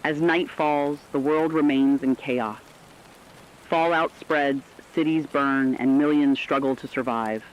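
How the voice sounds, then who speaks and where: A man playing a stern, resolute war correspondent who delivers scripted radio news in a formal, measured, earnest way. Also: fairly high pitch, steady tone, muffled recording. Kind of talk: scripted dramatic news narration.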